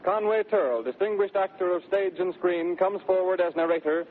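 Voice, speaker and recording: A man's voice: high voice, brisk, a little hiss or hum. A man making a formal, declamatory announcement as a 1930s radio network announcer. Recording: tinny 1930s radio broadcast.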